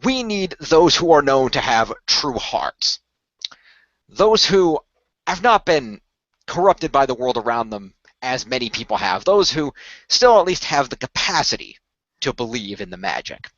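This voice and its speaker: A man's voice: mid-range voice, very animated, measured pace, fairly bright tone, dry recording. Man playing an earnest recruiter, dull lo-fi recording. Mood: earnest, persuasive. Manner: formal, wordy, persuasive, hedging. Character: earnest male company recruiter pitching secret magical project. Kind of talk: improvised radio play roleplay.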